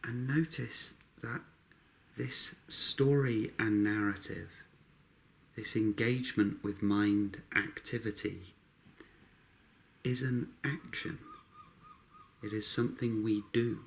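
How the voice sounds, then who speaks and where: A man guiding a meditation, speaking calmly in short, unhurried phrases with long pauses between them. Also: lowish voice, vocal fry. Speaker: meditation guide. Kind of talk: guided meditation narration.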